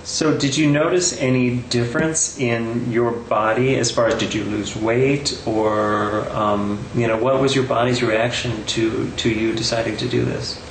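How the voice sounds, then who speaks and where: A man interviewing, asking questions softly. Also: medium pitch, fairly even intonation, a little slow, few pauses, roomy sound, a little hiss or hum, rough recording.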